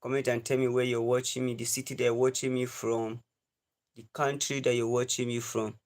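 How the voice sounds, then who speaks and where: Young Nigerian man, twenties, livestream host, earnest and preachy, repeats his points, mid-pitched voice. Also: little pitch movement, a little fast. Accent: Nigerian English. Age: 20s. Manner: earnest, repetitive, lecturing. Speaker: live stream host.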